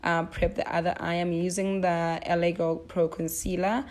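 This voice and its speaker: A young woman with flu, her voice rough, chatting through a makeup tutorial step by step. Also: fairly low pitch, level tone, moderate pace, a little mellow. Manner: chatty, step-by-step, self-deprecating. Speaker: beauty vlogger. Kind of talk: casual makeup tutorial narration.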